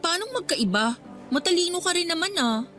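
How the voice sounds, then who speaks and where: A woman's voice: very high voice, lively intonation, brisk, fairly bright tone, soft background music. A woman playing an ambitious, driven schoolgirl student leader in a radio drama, talking persuasively and insistently.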